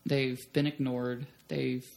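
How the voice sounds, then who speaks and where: A woman's voice: rumbling low, takes it slowly, gravelly. A young woman telling frank stories in a casual chat, blunt and profane. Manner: blunt, profane, animated storyteller. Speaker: podcast guest. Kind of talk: candid storytelling chat.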